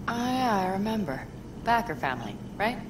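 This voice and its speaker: A woman's voice: mid-range voice, big pitch swings, moderate pace, noisy background, lightly acted. An actress playing a tough, guarded young nomad woman with a blunt, wry, earthy way of talking in a video game drama. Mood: reflective.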